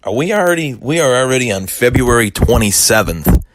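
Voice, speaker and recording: A man with a hoarse voice hosting a solo sports podcast, talking in an aggrieved, defensive way with lots of restarts. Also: mid-range voice, lively intonation, brisk, no room sound.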